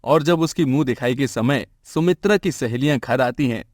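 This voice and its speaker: A man tells a scripted moral story as narrator. His pitch is average for a man. The recording is dry, with no room sound. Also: moderate pace, darkish tone, no echo.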